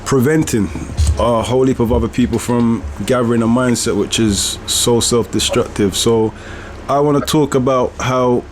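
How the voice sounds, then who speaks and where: A man hosting a reflective podcast conversation, speaking in an earnest, deliberate way. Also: mid-range voice, steady tone, slight background noise. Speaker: podcast host and author.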